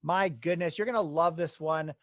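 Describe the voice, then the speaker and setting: A man's voice: on the high side, moderate pace, a bit bright, dry recording, clean studio sound. A young man hosting a real estate podcast, introducing his guest with enthusiastic, casual energy. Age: young adult. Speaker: podcast host. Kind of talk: upbeat podcast intro and interview.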